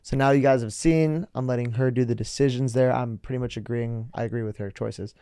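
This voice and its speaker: A young man hosts a casual music interview, upbeat and talkative, leaning on "like" as he goes. His tone is mellow and warm. The recording is dry and close to the mic. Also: mid-range voice, steady tone, a little fast, clear, resonant tone, no room sound. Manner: upbeat, chatty, many likes. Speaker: podcast host.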